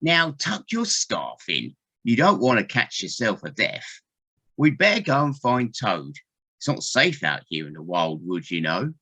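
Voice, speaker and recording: Husky, wildly swooping, fussy animal friend, acted. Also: mid-range voice, big pitch swings, a little slow, sharp tone, full character voice. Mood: worried, fussing. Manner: fussing, protective. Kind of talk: children's audio play acting. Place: living room at home, recorded over video call.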